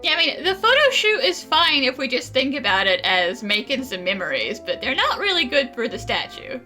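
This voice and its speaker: A woman's voice: high-pitched, sing-song, normal speed, sharp tone, faint music behind. A woman commenting casually on a video game playthrough in a jokey, giggly, conversational way. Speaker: gaming video host.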